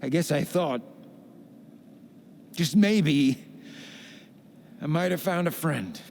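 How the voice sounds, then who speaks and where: An actor playing a hapless, lonely, self-pitying adventurer in a video game, with a folksy, rueful way of talking. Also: male voice, fairly high pitch, expressive, takes it slowly, creaky, a little mellow, slight background noise, heavily acted. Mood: dejected, self-pitying. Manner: folksy, colourful exclamations, rueful. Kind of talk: video game cutscene acting.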